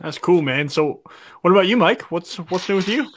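A man's voice: high voice, expressive, normal speed, fairly bright tone, dry recording, studio quality. A man co-hosting a live talk show, opening with teasing, jokey banter. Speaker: podcast co-host.

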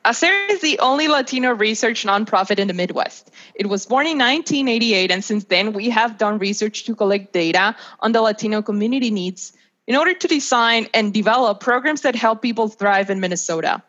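A woman's voice: on the high side, animated, brisk, a bit bright. Young Mexican woman, dull lo-fi call. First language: Spanish. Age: 20s. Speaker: guest, nonprofit research associate. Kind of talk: prepared podcast interview answers.

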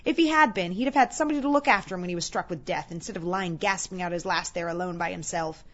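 A woman's voice: fairly low pitch, fast, muffled recording, lightly acted. A woman voicing a callous female scavenger in a storybook, blunt, scornful and boastful as she haggles.

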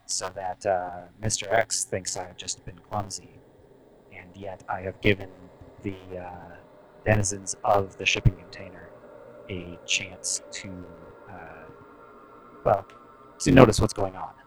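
A young man playing a tabletop game, thoughtfully planning his moves out loud with fillers. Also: deep voice, level tone, measured pace, a little hiss or hum, low-quality recording. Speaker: player.

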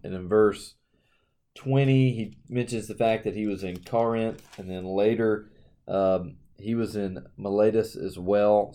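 A man's voice: fairly low pitch, mellow. A man co-hosting a casual Bible study discussion, talking conversationally with frequent fillers and reading verses aloud. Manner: conversational, reads scripture aloud, uses fillers. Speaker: podcast co-host.

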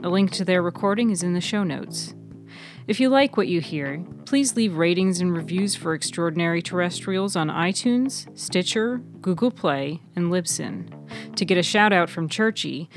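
A woman's voice: lowish voice, expressive, normal speed, faint music behind. A woman reading scripted narration as the narrator of a fiction podcast.

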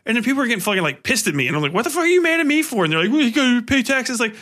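A man's voice: extremely high pitch, very animated, racing, bright, forceful tone. A man talking casually as a podcast host, blunt and rambling, with heavy swearing. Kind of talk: casual, profane conversation.

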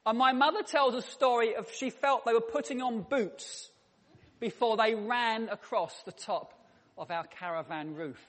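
A man's voice: very high-pitched, measured pace, fairly bright tone. A man preaching a sermon in a church, telling stories with a dry, humorous touch. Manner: humorous anecdotal storytelling. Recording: long reverb in a church hall.

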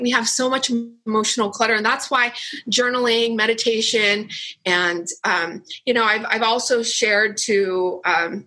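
Bright, husky-voiced woman author. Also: a little high, rough recording. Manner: earnest, effusive, many restarts and fillers. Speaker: author and workshop leader, interview guest. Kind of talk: casual interview answers.

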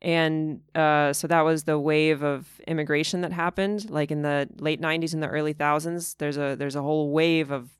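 A young woman from Moscow, a stand-up comic, chatting as a guest with jokes, giggles and halting restarts. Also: low-pitched, steady tone, smooth, dry recording, clean studio sound. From Moscow, Russia. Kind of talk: casual interview chat.

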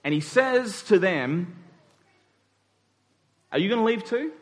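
A man's voice: high-pitched, animated, slow pace. A man preaching a conversational sermon in an informal way, acting out dialogue in everyday words. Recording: long hall reverb.

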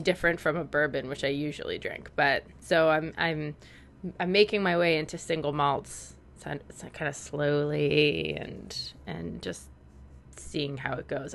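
A woman's voice: sits low, unhurried, vocal fry, a bit bright. A Canadian woman co-hosting a podcast, chatting casually with lots of "like" and restarts. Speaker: podcast co-host. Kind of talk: casual chat.

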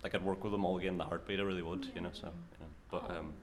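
A man with an Irish accent speaks quickly in a deep voice. He is an actor guest, earnest and peppering his talk with "like". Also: little pitch movement, a crowd in the background. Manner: earnest, uses "like" often. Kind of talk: casual chat.

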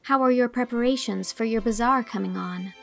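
A woman's voice: fairly high pitch, brisk, vocal fry, soft background music. A woman voicing an eager, earnest young woman.

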